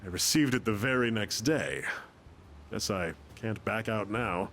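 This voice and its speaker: A man's voice: lowish voice, unhurried, fairly bright tone, soft background music. A man playing a boastful, self-important rich schemer with a pompous, defensive manner in an anime game. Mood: smug, defensive. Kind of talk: anime game dub acting.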